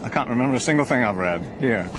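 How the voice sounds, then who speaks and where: A man's voice: mid-range voice, expressive, fast, close to an everyday voice. Audio drama: male actor as weary, courteous plane passenger, middle register, noisy cabin behind. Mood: weary, polite. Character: tired, polite male airline passenger. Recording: noisy vehicle cabin ambience. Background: airplane cabin noise.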